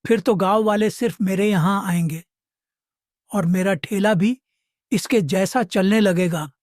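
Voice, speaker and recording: A man's voice: high voice, unhurried, a little mellow, no echo, studio quality. A man voicing an angry, jealous food-cart vendor in a cartoon moral story, scolding and threatening. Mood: bitter, scheming. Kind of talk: animated moral story dialogue.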